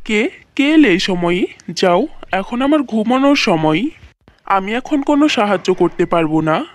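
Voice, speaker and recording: A kindly magical tree acted by a woman speaks in a medium-pitched voice for a cartoon fairy tale. It sounds weary and earnest, like an old friend of the helpless. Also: lively intonation, a little slow, dry recording, studio quality, lightly acted. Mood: drowsy, reluctant. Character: gentle, weary magical golden tree, kind and pleading.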